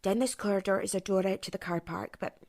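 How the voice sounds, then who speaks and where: A woman's voice: medium pitch, quick pace, a little mellow, lightly acted. An actress playing a bold, teasing woman who leads survivors in a zombie audio drama. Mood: hushed.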